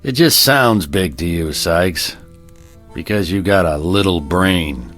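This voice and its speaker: An actor playing a stern, blunt sheriff in an old-time radio Western, speaking with scornful authority. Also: male voice, sits low, animated, slow pace, fairly bright tone, soft background music, full character voice. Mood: contemptuous.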